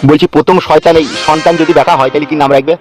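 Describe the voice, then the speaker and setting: A man's voice: fairly high pitch, steady tone, rapid-fire, soft background music, lightly acted. A man voicing a doting, touchy young newlywed husband in a comedy cartoon.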